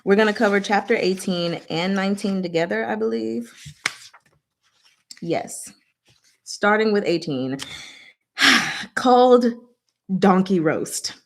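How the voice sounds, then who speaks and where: A woman's voice: mid-range voice, takes it slowly, creaky, long pauses. Playful woman in casual, chatty recap. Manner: playful, slangy, acts out characters' lines in casual speech. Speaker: host of a book discussion.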